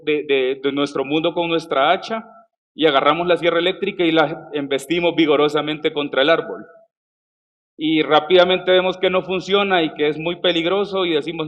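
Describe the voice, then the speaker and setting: A man from El Salvador with a Salvadoran Spanish accent mixed with Colombian words, giving a conversational conference talk with frequent fillers and restarts. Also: a little high. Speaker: conference speaker. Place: conference stage.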